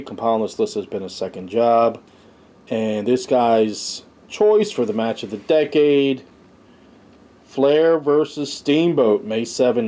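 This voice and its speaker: A man's voice: medium pitch, warm tone. A man hosting a review show, casually reading out lists and commenting on them in an informal way. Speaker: host of a wrestling review show.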